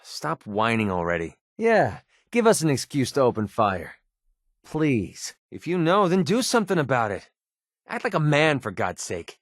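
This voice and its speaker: An actor playing a cynical, wisecracking young mercenary in a video game, with an American accent and a sarcastic, streetwise manner. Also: male voice, medium pitch, wildly swooping pitch, normal speed, no room sound, clean studio sound. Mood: irritated, dismissive, then exasperated. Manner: sarcastic, streetwise, casual delivery. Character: cynical, wisecracking young male mercenary sniper in a post-apocalyptic wasteland. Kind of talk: video game companion dialogue.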